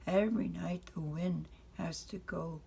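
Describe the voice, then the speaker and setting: A woman voicing a patient but firm father who explains things in a deliberate, matter-of-fact way in a bedtime storybook. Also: on the low side, fairly even intonation, creaky, dark tone, slightly rough, exaggerated voice. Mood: patient explaining.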